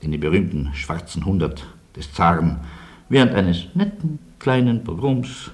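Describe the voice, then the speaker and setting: Deep-voiced, ironic refugee gentleman. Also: sing-song, unhurried. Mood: wry, courteous. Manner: ironic, courteous, storytelling. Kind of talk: German radio drama acting.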